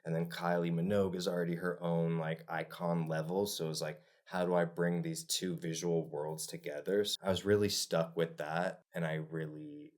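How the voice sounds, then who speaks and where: Deep-voiced man, relaxed and reflective. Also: moderate pace, few pauses. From Czech, raised in Los Angeles. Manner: relaxed, reflective, many "like" fillers. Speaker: music video director, guest. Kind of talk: casual interview conversation.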